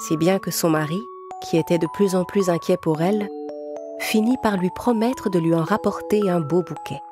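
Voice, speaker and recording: Woman narrator, fairly low voice, scripted storybook telling, clear music behind. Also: normal speed. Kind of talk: scripted fairy-tale narration.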